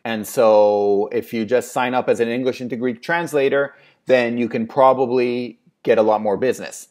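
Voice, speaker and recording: A man in his thirties, a translation agency owner, giving relaxed, thinking-aloud advice on a webcam with an earphone mic in a small room at home. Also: medium pitch. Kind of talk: casual advice vlog answering a viewer question.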